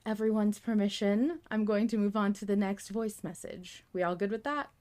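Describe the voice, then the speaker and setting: A woman's voice: medium pitch, fairly even intonation, moderate pace, a little mellow. Woman podcast host thinking aloud. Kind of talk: casual fan discussion.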